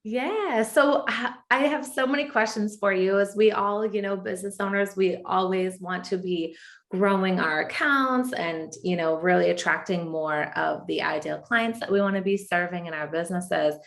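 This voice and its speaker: A woman's voice: mid-range voice, moderate pace, gravelly, fairly bright tone. A woman hosting a podcast, introducing and interviewing a guest with effusive enthusiasm. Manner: effusive, enthusiastic, repeats "amazing". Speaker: podcast host.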